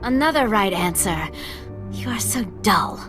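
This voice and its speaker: A woman's voice: medium pitch, lively intonation, unhurried, over music, natural voice. A woman voicing a playful, lonely ancient female spirit who teases a visitor into a game of questions in a video game. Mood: teasing. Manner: whimsical, teasing, playful.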